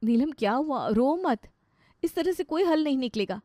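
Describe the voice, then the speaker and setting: A woman's voice: sits high, animated, moderate pace, mellow, dry recording, pitched above the performer's own voice. A woman acts out a kind elder sister-in-law in a dramatised moral story. She sounds sensible and coaxing, the family's soothing adviser. The recording is dry and close. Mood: consoling.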